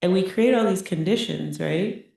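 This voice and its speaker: A woman's voice: fairly low pitch, moderate pace, gravelly, darkish tone. A middle-aged woman giving a chatty Buddhist teaching, often checking in with "right?" and laughing lightly. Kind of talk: conversational dharma talk. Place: meditation center teaching room. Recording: very echoey room.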